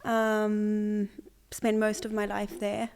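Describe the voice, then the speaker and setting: A young woman from Zimbabwe, an artist, answering interview questions in a relaxed way with many pauses, fillers and restarts. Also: mid-range voice, creaky, clean tone. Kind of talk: conversational interview.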